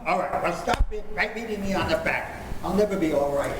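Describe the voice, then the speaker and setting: Man acting exasperated high-pitched sitcom dad, echoey stage. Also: expressive, brisk. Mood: exasperated, stern. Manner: theatrical, dryly sarcastic. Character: exasperated 1950s sitcom father, sarcastic and blustering. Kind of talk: old-time radio comedy acting. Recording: noisy, echoey live stage recording.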